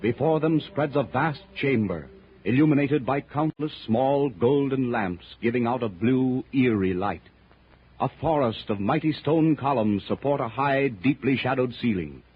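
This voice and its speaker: A male narrator with a very husky, mid-pitched voice reads in the theatrical manner of 1930s radio. His delivery is measured and portentous, and the old recording is muffled. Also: lively intonation. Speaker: narrator of an adventure serial.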